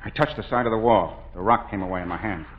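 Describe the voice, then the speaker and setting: A man's voice: on the low side, fast, dull audio, close to an everyday voice. An actor in a 1940s radio drama playing an earnest, urgent male suitor with a clipped, dramatic delivery. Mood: tense, searching. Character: earnest, urgent young male suitor searching for his beloved. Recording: noisy 1940s radio broadcast.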